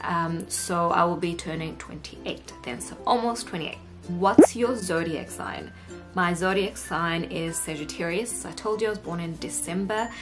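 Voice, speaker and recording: A woman's voice: fairly low pitch, measured pace. A woman in her late twenties with a New Zealand accent, chatting casually to camera as a vlogger. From Egypt, moved to New Zealand aged nine. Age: late 20s. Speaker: YouTuber hosting a vlog. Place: indoor room at home. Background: background music.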